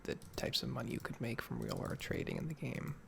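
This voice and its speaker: A man's voice: mid-range voice, huge pitch swings, fairly quick. Guy whispering a rambly asmr gaming update, super crackly voice, bit stuffed up, faint noise and key clicks behind. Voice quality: hushed, breathy, mostly whispered. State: slightly nasal while getting over a cold-like illness. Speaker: gaming ASMR video creator.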